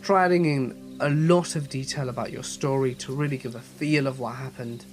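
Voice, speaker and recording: A man narrating horror stories as a channel host, reading in a measured, steady way with a voice slightly off from a cold. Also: mid-range voice, faint music behind. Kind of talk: scripted horror story narration.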